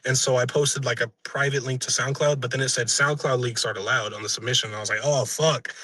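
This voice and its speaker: A young man with a raspy voice, a musician being interviewed, chatting casually and earnestly in slang and profanity, often backtracking. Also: mid-range voice, fairly even intonation, fast talker, sharp tone, no room sound. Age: young adult.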